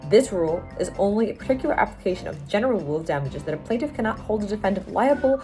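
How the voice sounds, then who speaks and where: A woman with an American accent, a law student giving a measured dramatic reading of a court opinion. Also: mid-range voice, lively intonation, music in the background.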